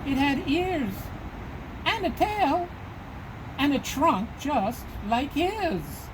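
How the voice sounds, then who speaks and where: A woman reading rhyming verse aloud for children, with deliberate, dramatic phrasing. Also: high voice, very animated. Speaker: storybook reader. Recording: clearly noisy background.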